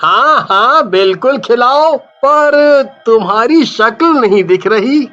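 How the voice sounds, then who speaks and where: A man's voice: extremely high pitch, expressive, slow pace, sharp tone, highs cut off, faint music behind, voice pushed up, heavily acted. A man playing a sneaky, bullying street vendor villain in a cartoon story, suspicious and threatening. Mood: sly. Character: sneaky villainous male snack seller, defiant bully.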